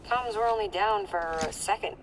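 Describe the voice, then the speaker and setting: An actress playing a calm, caring colleague on comms in a video game, speaking in a measured, soothing way. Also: female voice, medium pitch, a little hiss or hum, lightly acted, rough recording. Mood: puzzled, matter-of-fact. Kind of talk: naturalistic video game acting.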